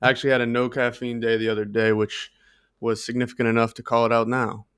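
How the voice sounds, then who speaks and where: A man's voice: mid-range voice, flat delivery, quick pace, a bit bright, clear, resonant tone, dry recording, studio quality. A man co-hosting a podcast in a casual, conversational style, padding his thoughts with filler phrases. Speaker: podcast co-host. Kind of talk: casual chat.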